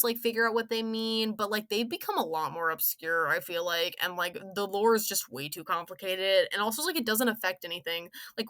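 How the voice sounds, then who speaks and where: Bright-voiced, playful young woman rambling casually. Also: mid-range voice, dry recording, studio quality. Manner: giggly, playful, slangy. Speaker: video game story reviewer.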